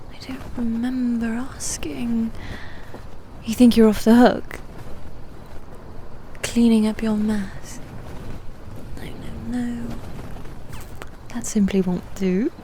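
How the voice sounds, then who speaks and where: Woman acting female demon knight, ASMR roleplay, very slow, teasing and haughty, soft whispery delivery, faint background noise. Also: on the high side. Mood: smug, commanding. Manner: soft, breathy, often whispered, deliberate and teasing.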